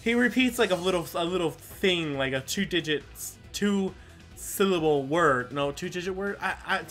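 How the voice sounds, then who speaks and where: High-pitched, animated male gamer. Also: normal speed, soft background music. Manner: rambling, thinking aloud. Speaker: gaming video host. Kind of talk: casual let's play commentary.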